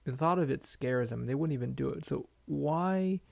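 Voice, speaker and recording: A man's voice: medium pitch, moderate pace, creaky. A young man hosting a podcast, asking curious questions and affirming with "I see" and "gotcha," speaking close to a studio mic at home. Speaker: podcast host. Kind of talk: casual interview chat. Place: bedroom at home.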